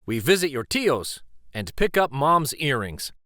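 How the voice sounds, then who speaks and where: A man voicing a caring, purposeful father in a picture book, speaking in a measured, instructive way. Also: mid-range voice, sing-song, bright, forceful tone, dry recording, studio quality. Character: caring Texan border-town father, purposeful and warm-hearted.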